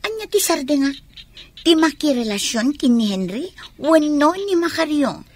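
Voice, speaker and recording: An actress playing a coaxing young student who juggles two lovers in a radio drama, with an Ilocano accent. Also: female voice, high-pitched, sing-song, moderate pace, darkish tone, a little hiss or hum. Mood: defiant. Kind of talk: Filipino radio drama acting.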